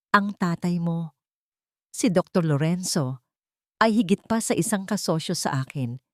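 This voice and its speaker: A woman's voice: on the low side, darkish tone, no echo, studio quality. A woman voicing a protective, intense man, devoted and solemn, in a dramatic audio story.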